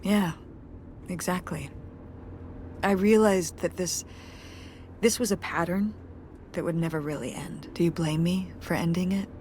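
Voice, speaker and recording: A woman's voice: lowish voice, unhurried, long pauses, a little mellow, slight background noise, natural voice. An actress playing a wry, tired middle-aged mother who runs a community theater, speaking with a dry, self-deprecating humor in naturalistic game dialogue. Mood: heavy.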